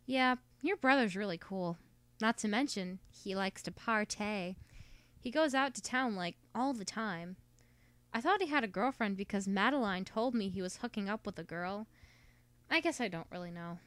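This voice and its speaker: Woman acting a cheeky smug sister, clear-voiced. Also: medium pitch, expressive, normal speed, a bit bright, no echo, natural voice. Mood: gossipy. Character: cheeky, smug teenage sister, slangy and gossipy. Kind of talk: amateur animated drama acting.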